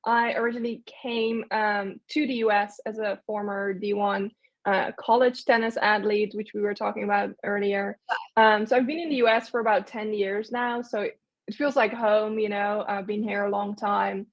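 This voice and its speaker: A woman's voice: medium pitch, flat delivery, moderate pace, lo-fi sound. A young woman from the Netherlands, chatting casually as an interview guest, with lots of fillers and restarts. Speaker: guest, agency owner.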